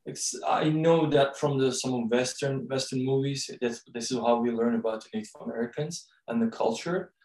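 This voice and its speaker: A man from Turkey with a Turkish accent, speaking English with many pauses and fillers as a guest on a podcast interview. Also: mid-range voice, a little mellow, echoey room, distant mic, rough recording. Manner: halting, many fillers, searching for words. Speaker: guest, co-author and professor.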